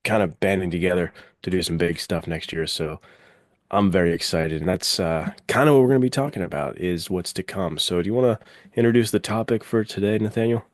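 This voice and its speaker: A young man co-hosting a podcast in casual chat, upbeat and excited, with casual fillers. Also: deep voice, brisk, no echo, clean studio sound. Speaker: podcast co-host.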